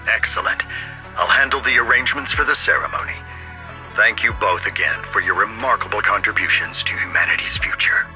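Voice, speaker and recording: A man playing a formal, earnest, dignified mayor in a video game, speaking in measured official phrases over a radio-like call. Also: on the low side, pushed and bright, faint music behind, poor audio. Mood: formal, sincere. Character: earnest dignified male mayor bestowing an honor. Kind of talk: formal scripted game dialogue.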